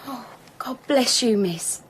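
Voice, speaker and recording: A woman's voice: a little high, animated, slow pace. A woman playing an anxious, humble wife in a radio drama, stammering and deferential. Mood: apologetic. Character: anxious, humble young wife of a missing courier.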